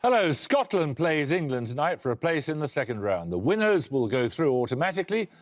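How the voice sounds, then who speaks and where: Lively, dry-witted male quizmaster. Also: fairly high pitch, a little fast, some chatter behind. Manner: crisp, dry and authoritative, with wry asides. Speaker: quiz show host. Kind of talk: formal quiz hosting and scripted introductions. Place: television studio.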